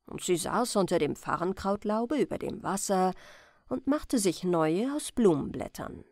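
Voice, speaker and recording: A woman storyteller reading a bedtime fairy tale as scripted narration, with expressive, careful phrasing. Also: mid-range voice, dry recording, studio quality.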